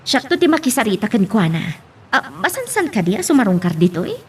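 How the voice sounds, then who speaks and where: Actress as worried older family matriarch, heavily raspy and lively, slightly distant over music. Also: female voice, fairly high pitch, a little fast. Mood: conversational. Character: concerned older mother of the family. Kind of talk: Ilocano audio drama acting.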